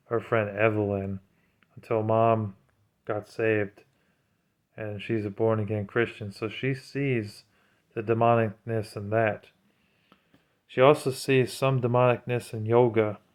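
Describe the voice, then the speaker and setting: A man's voice: lowish voice, a little mellow, smooth. A man giving an informal religious talk in an earnest, preachy manner. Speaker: religious commentator.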